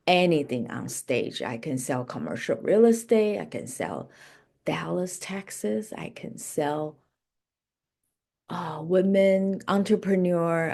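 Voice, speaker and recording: Low-pitched woman coach with unhurried delivery. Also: gravelly, a little mellow. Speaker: life and business coach. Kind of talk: motivational coaching session.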